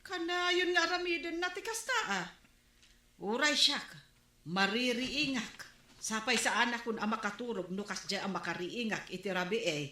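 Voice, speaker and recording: An actress playing a village captain's wife in a comedy drama who complains about a noisy neighbor. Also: female voice, on the high side, animated, moderate pace, bright, forceful tone. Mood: complaining. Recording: echoey room recording.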